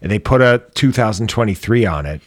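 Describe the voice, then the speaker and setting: A man's voice: fairly low pitch, expressive, brisk, no echo. A man co-hosting a podcast, chatting casually in a laid-back, complaining way and swearing freely. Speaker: podcast co-host. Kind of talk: casual chat.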